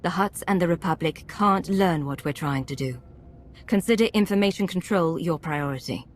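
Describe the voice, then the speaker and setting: A woman voicing a resolute female agent who commands a covert team in a video game, speaking with measured authority. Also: fairly low pitch, slight background noise, close to an everyday voice. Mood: firm, focused. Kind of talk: scripted video game acting.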